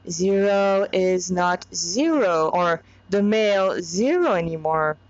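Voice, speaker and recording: Slow-paced woman, dull lo-fi recording. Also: medium pitch, no echo. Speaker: fan fiction author and reader. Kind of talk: casual chat and informal story reading.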